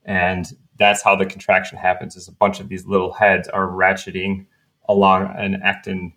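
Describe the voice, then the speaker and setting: Man, very low voice, gravelly fry, relaxed chatty podcast host. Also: moderate pace. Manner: relaxed, chatty, thinks aloud. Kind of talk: casual podcast chat.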